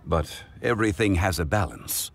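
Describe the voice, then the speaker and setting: A man playing a calm, dignified former god turned scholarly consultant in an anime game, speaking in a measured, formal way. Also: sits low, a little hiss or hum. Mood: composed.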